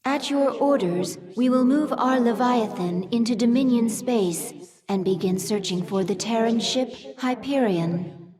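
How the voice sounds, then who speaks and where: A woman's voice: a little high, a little slow, crowd noise, lightly acted. An actress playing an obedient, formal female alien attendant serving her queen in a video game. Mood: deferential. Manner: formal, deferential. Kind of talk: video game cinematic acting.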